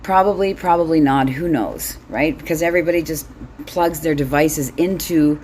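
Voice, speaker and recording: Middle-aged woman, deep register, blunt casual comic monologue, some background noise. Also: moderate pace, a little mellow. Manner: blunt, sweary, wry. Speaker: solo host.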